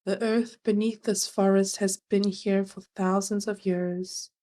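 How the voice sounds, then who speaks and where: Slow-paced woman guiding a meditation. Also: medium pitch, flat delivery. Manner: gentle, soothing, unhurried with long pauses. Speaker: meditation guide. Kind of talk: guided meditation narration.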